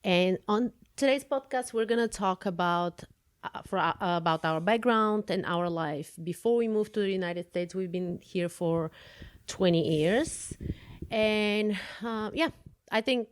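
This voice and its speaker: A woman's voice: mid-range voice, a little slow, clear, resonant tone, no room sound. Bulgarian woman, chatty storyteller. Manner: chatty, laughs often, searches for words. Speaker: podcast co-host. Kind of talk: casual storytelling.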